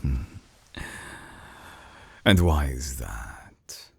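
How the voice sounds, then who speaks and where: An actor playing a suave, obsessive villain scientist in an intimate binaural audio drama, teasing and menacing, with a husky voice that slips into breathy whispers. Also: male voice, very deep voice, animated, dark tone, no echo, heavily acted. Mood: smooth, persuasive. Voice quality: husky, gravelly. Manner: slow, theatrical, teasing, sliding into breathy whispers. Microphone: close binaural microphone.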